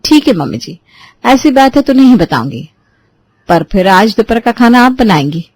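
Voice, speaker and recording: A woman's voice: on the high side, sing-song, normal speed, darkish tone. A woman voicing a bold young bride who talks in an indignant, slyly teasing way. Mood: sly, bossy. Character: young new bride, bold and sharp-tongued.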